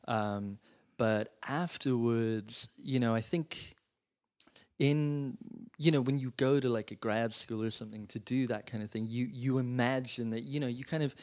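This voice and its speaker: A man's voice: mid-range voice, measured pace, darkish tone. Thoughtful man raised in Italy. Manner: thoughtful, hedging with "you know" and "like". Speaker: guest screenwriter. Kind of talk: casual interview chat.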